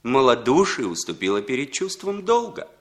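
A man's voice: mid-range voice, very animated, moderate pace, lightly acted. An actor playing a sly, scheming Western intelligence handler in a Soviet radio play, insinuating and ironic as he probes. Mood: scheming, reflective.

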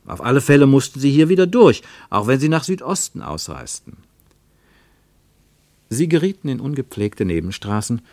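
Low-voiced man narrating a literary radio play. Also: darkish tone, no echo.

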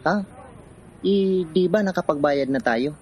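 A man's voice: fairly high pitch, measured pace, darkish tone, a little hiss or hum, lightly acted. An actor playing a poor man from a city slum, narrating his own hard life in a scripted radio drama. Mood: troubled, conversational.

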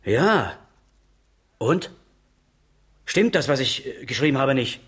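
An insistent schoolteacher in a radio drama, prodding and authoritative. His voice is middling in pitch and husky. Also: big pitch swings, slow pace, a bit bright, full character voice. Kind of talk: naturalistic radio drama acting.

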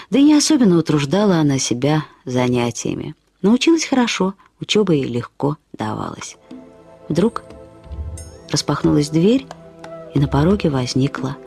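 A woman reading literary prose as a radio storyteller, in an expressive, reflective, measured way. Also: sits low. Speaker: narrator of a short story. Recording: studio radio production with music. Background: music.

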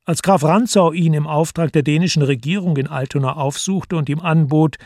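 A man reading scripted literary narration for a radio play in a measured, matter-of-fact way. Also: a little high, darkish tone, no echo, studio quality.

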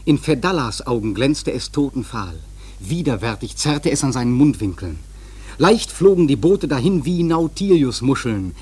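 An actor playing a seasoned whaling sailor who narrates a literary radio drama in a husky, vivid, dramatic way. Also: male voice, mid-range voice, darkish tone. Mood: urgent, awed. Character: seasoned whaling sailor recounting the fateful hunt.

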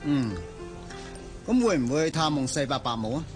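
A male actor playing the groom's smooth-talking old friend in a Cantonese radio drama, teasing and insinuating in a Hong Kong accent. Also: fairly high pitch, very animated, moderate pace. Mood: curious. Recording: music behind the voice.

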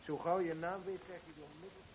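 An actor playing a probing, wry police inspector who muses and questions with dry irony in a radio play. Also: male voice, high-pitched, fairly even intonation, quick pace, a little hiss or hum, lightly acted. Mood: puzzled, irritated. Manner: musing, questioning, dryly ironic. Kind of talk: Dutch radio drama dialogue. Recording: muffled lo-fi old radio recording.

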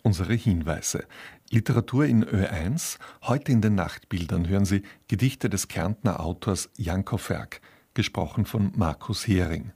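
A man presenting scripted radio programme announcements in a formal, composed manner, with a gravelly, husky voice. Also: deep voice, a little slow, a little mellow.

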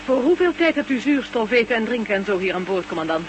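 A woman's voice: fairly high pitch, sing-song, fast talker. A woman playing a composed, serious defector in a science fiction radio play, direct and formal in manner. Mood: dry, probing. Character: composed, serious female alien defector with commanding powers. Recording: noisy old radio recording with hum.

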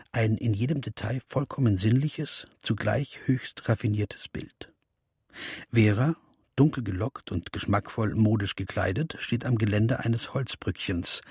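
Radio drama role: sensual male interpreter, breathy-rough low voice, intimate literary delivery, lingering on phrases. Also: darkish tone, dry recording, clean studio sound, full character voice. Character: sensual, seductive male interpreter with poetic fervour.

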